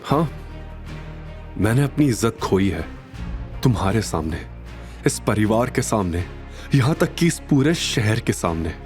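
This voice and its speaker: A man's voice: lowish voice, very animated, measured pace, pauses often, darkish tone, faint music behind. A man playing a wounded, proud young husband in an emotional, emphatic audio drama style. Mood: hurt, defiant. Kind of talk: Hindi audio drama acting.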